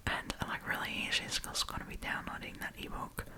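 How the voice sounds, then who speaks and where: A woman speaking in a breathy whisper, chatty and informal, as an ASMR storytime host reading and commenting casually. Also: a little fast.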